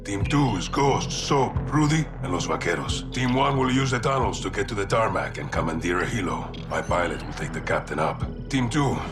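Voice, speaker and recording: An actor playing a serious, resolute Mexican military officer in a game, businesslike, with a Mexican accent. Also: male voice, fairly low pitch, lively intonation, normal speed, hardly any pauses, music in the background, natural voice. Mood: serious, focused. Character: serious, resolute male Mexican special forces colonel. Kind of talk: mission briefing, game acting.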